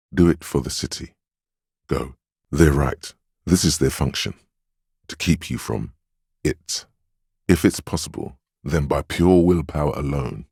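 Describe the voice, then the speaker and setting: A man voicing a stern, steadfast, protective inner voice of willpower in a video game, speaking in a measured, commanding, admonishing way. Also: a little mellow, dry recording, clean studio sound, heavily acted. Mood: rousing. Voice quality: very deep, smooth, resonant. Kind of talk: dramatic second-person game narration.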